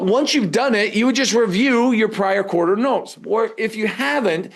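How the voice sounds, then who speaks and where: A man's voice: sits high, vocal fry. A man teaching a live webinar in a conversational way, prompting listeners with rhetorical questions. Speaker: webinar instructor.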